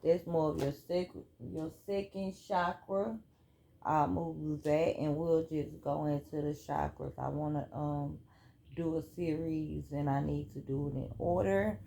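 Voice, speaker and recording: A low-pitched woman with a gravelly, mellow voice, talking slowly and easily. She is reading spiritual cards aloud in a casual home vlog. A faint hiss of room noise sits under her voice. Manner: relaxed, chatty, reads cards aloud. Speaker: spiritual card reader.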